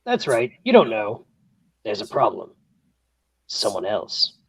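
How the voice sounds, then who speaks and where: A man's voice: lowish voice, huge pitch swings, slow pace, vocal fry, slightly rough, dry recording, heavily acted. A man playing a scheming confidant in a play table read, speaking conspiratorially. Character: scheming male confidant leaving lovers alone.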